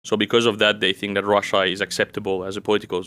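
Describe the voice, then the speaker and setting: A young man from Finland who grew up in France, speaking as an interview guest in a calm, measured way with frequent pauses and fillers. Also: fairly low pitch, clear, resonant tone. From Finland, raised in France. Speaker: guest, OSINT analyst. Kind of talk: casual interview conversation.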